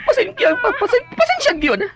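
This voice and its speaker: A Filipino-accented actress in a radio drama playing a loud, sassy, excitable office worker, full of theatrical wails and teasing. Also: female voice, extremely high pitch, fairly quick, heavily acted. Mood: apologetic, teasing. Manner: exclamatory, theatrical wailing and teasing.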